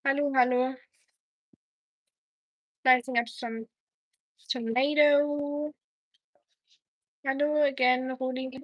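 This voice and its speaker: A German-accented woman hosts a casual cooking livestream, sharing kitchen tips and replying to viewers as she works. Her voice sits a little higher than most women's. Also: little pitch movement, slow, long pauses, highs cut off. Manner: chatty, reads and answers viewer comments while cooking.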